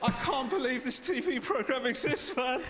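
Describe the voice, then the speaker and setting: A man with a British accent doing a stand-up comedy set on a theatre stage, telling stories in a dry, deadpan way. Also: extremely high pitch, moderate pace, heavy background noise. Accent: British English. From Sri Lankan heritage. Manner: dry, deadpan storytelling with lively swings. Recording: roomy theatre with loud audience laughter. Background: audience laughing.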